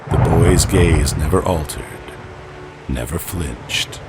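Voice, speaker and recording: A man's voice: rumbling low, loud music behind the voice. A man voicing a solemn angel who recounts an ancient tragedy in a grave, theatrical storytelling manner for an audio comic. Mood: intense, portentous. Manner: grave, portentous, theatrical, dramatic pauses. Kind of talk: dramatic scripted storytelling, audio comic book.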